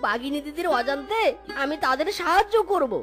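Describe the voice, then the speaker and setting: Brief: cheeky male cartoon fox thief, very high and playful, voiced by a woman, loud music behind. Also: expressive, a little fast. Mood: soft-hearted. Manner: playful, singsong, mixes in English words. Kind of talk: cartoon voice acting.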